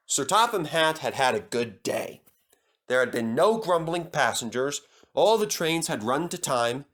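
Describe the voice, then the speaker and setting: A man's voice: mid-range voice, fairly bright tone. A man narrating a scripted children's story as a storyteller.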